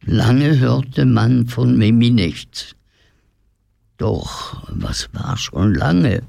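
A man narrates a scripted story for radio drama. His medium-pitched voice is slow and full of creak, recorded dry. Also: a little mellow, dry recording.

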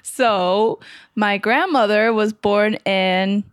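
Woman guest on a podcast, frank and amused, mid pitch. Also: drawn-out words, no room sound, clean studio sound. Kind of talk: casual group conversation.

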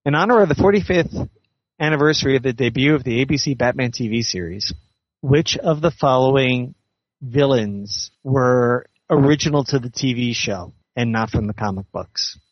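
A man reading out a quiz question in a measured, deliberate way. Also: mid-range voice, dry recording. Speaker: interview guest, author reading a trivia question. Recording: dull lo-fi recorded call.

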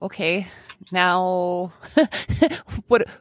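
A woman's voice: on the low side, expressive, drawn-out words, dry recording, studio quality. A woman hosting a podcast in casual interview chat, enthusiastic and effusive, often giggly. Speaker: podcast host.

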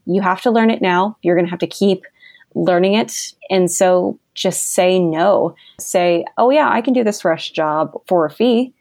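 A woman's voice: mid-range voice, a little mellow, dry recording, studio quality. A young woman guest on a podcast interview, talking in a bubbly, enthusiastic way and laughing often. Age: young adult. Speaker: guest copywriter.

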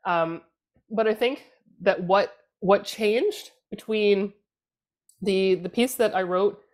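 A Canadian woman in her thirties, a political communications guest on a casual podcast discussion, talking in a halting way as she searches for words. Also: mid-range voice, a little mellow.

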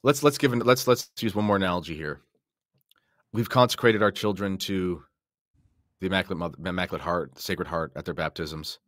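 A man's voice: deep voice, fairly quick, fairly bright tone, dry recording, studio quality. A middle-aged man talking as a podcast guest in a casual, conversational way. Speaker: podcast guest and audiobook narrator. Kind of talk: casual discussion.

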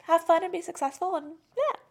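A woman in her forties co-hosting a podcast, chatting candidly and excitably. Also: extremely high pitch, a little fast, a crowd in the background. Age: 40s. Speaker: podcast co-host. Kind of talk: casual chat.

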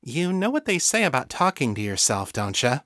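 A man voicing a helpful, easygoing woman in an audiobook, with a brisk, casual manner. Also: mid-range voice, very animated, fairly bright tone, dry recording, studio quality, voice pushed up. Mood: obliging, teasing. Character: Sasha, helpful, easygoing telepath woman, friendly and teasing.

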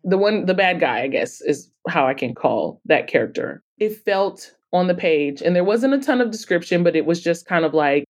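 A woman's voice: fairly low pitch, animated, normal speed, few pauses. A woman speaking as an interview guest in a conversational, emphatic way. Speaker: podcast guest, author and publisher.

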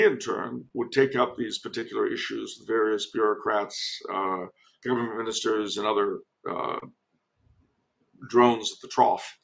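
A man from the United States giving conversational commentary as a podcast guest, in a wry, measured, deliberate way. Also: mid-range voice, vocal fry, poor audio.

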